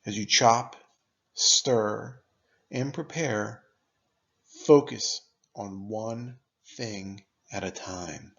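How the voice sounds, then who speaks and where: A man narrating a scripted guided meditation in a measured, instructive manner, pausing deliberately between phrases. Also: on the low side, vocal fry, fairly bright tone, lo-fi sound.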